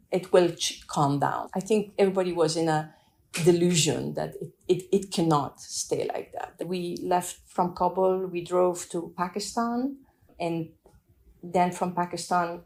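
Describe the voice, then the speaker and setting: A woman's voice: lowish voice, gravelly. Reflective woman with a French accent. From Afghanistan. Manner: thoughtful, soft-spoken, pauses and restarts while recalling. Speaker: interviewee telling her migration story. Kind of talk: reflective personal storytelling.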